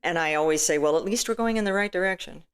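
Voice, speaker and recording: A middle-aged woman with a booming, stage-trained voice, chatting casually as an interview guest with lots of "you know"s and restarts. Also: fast talker, clear, resonant tone. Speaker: filmmaker and actress guest.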